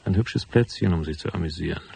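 An actor playing a tough, cynical private detective in a noir radio drama, speaking in a laconic, unsentimental manner. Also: male voice, deep voice, quick pace, gravelly, warm tone, slight background noise. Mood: brooding, matter-of-fact. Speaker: narrator and lead character.

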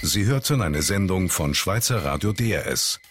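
A man's voice: sits low, animated, normal speed, noisy background. A man reading a scripted radio station announcement. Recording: music behind the voice. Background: music.

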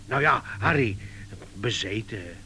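An actor playing an awkward, well-meaning male visitor in a comic radio play, halting and repeating himself. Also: lowish voice, lively intonation, pushed and bright, a little hiss or hum, full character voice. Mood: earnest enthusiasm.

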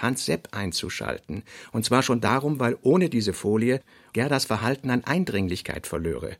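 A man reading scripted literary narration for radio in a measured, precise way. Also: mid-range voice, gravelly.

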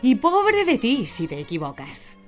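An actress playing a strict, scornful, short-tempered piano teacher who scolds and commands in a radio drama. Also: female voice, on the high side, huge pitch swings, unhurried. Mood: scornful, threatening.

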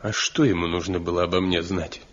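A man's voice: sits low, steady tone, a little fast, slight background noise, close to an everyday voice. An actor playing a proud, stubborn, brooding master craftsman in a radio drama, with a declamatory, scornful manner. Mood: guarded. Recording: muffled old radio play recording.

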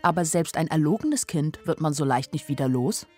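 A woman's voice: low voice, lively intonation, moderate pace, a little mellow. An actress playing a naive, observant young village woman who narrates her story in a radio play in a plain-spoken, wry way. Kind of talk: first-person radio play narration in a literary adaptation. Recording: studio radio play with music underneath. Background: music.

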